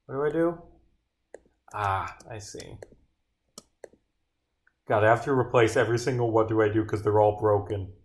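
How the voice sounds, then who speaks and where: A man's voice: on the low side, moderate pace, pauses often, darkish tone. A man streaming as he works on his game, talking casually to himself with sweary, grumbling asides. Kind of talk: casual livestream muttering while reading and bugfixing script aloud. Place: indoors at a computer, streaming.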